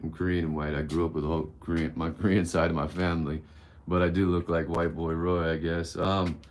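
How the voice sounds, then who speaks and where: Deep-voiced blunt man around fifty. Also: little pitch movement, fairly quick. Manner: candid and blunt, rambling, swears casually. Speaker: livestream host answering viewer questions. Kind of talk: casual live-stream Q&A.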